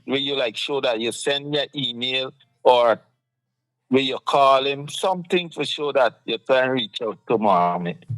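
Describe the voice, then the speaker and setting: A man's voice: medium pitch, a bit bright, no echo, rough recording. A man with a Guyanese accent hosting a casual political talk show, passionate and firing off rhetorical questions.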